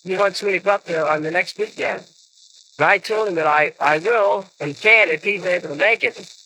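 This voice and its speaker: A young American man speaking a halting, informal audio letter, like a soldier writing home, on a 1950 paper record. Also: high voice, low-quality recording. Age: young adult. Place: servicemen's club recording booth. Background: loud record surface noise.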